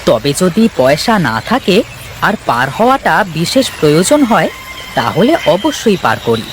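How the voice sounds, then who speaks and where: Man playing a folksy, lively-pitched village boatman. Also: normal speed, noisy background. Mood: kindly, reassuring. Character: humble, good-natured village river boatman, cheerful and devoted. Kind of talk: animated story character acting.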